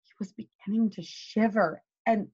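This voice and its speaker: A young woman, a teacher reading a children's story aloud in an animated way and asking her listeners questions. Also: medium pitch, moderate pace, breathy edge. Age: young adult. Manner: animated, expressive. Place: small bedroom, indoors. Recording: slightly dull webcam audio.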